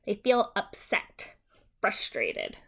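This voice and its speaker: A woman teacher reading a simplified children's story aloud and explaining it in a deliberate, simple way for young children. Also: mid-range voice, huge pitch swings, gravelly, breathy edge.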